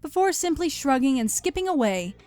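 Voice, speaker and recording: Highish-voiced woman narrating a story. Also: big pitch swings, a little fast, a little mellow, soft background music.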